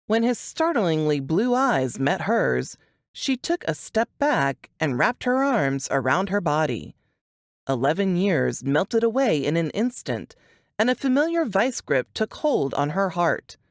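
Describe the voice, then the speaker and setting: A woman's voice: on the low side, sing-song, unhurried, dry recording, clean studio sound. A woman reading a scripted suspense novel as an audiobook narrator.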